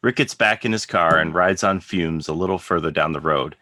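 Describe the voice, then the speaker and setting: Deep, bright-voiced male narrator. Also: fairly quick, no echo, studio quality. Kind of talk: scripted narration of stage directions.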